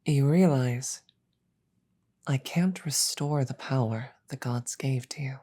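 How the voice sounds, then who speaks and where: A woman voicing a regal, calm demon queen in a roleplay audio drama, speaking in a slow, deliberate, breathy murmur with measured pauses and quiet menace. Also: very deep voice, heavily acted. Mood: pensive, probing.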